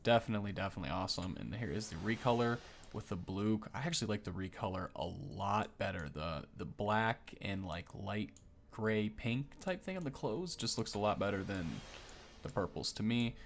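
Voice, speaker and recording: A man's voice: lowish voice, expressive, normal speed, a little hiss or hum. A man hosting a gaming video, giving casual, easily impressed first-look commentary that rambles and corrects itself.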